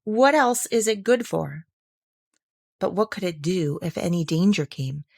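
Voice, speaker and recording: A woman's voice: on the low side, lively intonation, measured pace, vocal fry, darkish tone, breathy edge, no echo, full character voice. Curious little storybook girl played by a woman, polite, timid, questioning, dry close recording.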